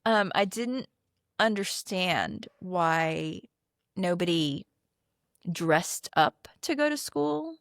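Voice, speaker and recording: A woman's voice: lowish voice, expressive, extremely slow, vocal fry, a bit bright, no echo, studio quality. A middle-aged woman from the Philippines whose first language is Tagalog, speaking as a guest in a conversational interview, earnest, with frequent "um"s and light laughs.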